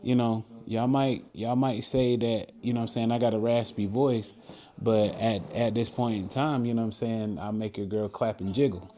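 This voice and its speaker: A man's voice: lowish voice, steady tone, normal speed, dark tone. A man hosting a podcast interview in a casual, easygoing way, often laughing and filling with stock phrases. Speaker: podcast host.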